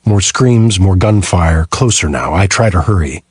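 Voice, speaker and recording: A man's voice: low-pitched, expressive, a little slow, slightly rough, no echo, studio quality. A man narrating a first-person thriller audiobook from a script.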